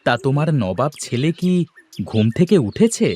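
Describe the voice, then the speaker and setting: A man acting an animated, exasperated village farmer. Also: mid-range voice, normal speed. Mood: brisk, grumbling. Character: hardworking village farmer, husband and father, easily exasperated. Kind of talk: Bengali cartoon moral-story voice acting.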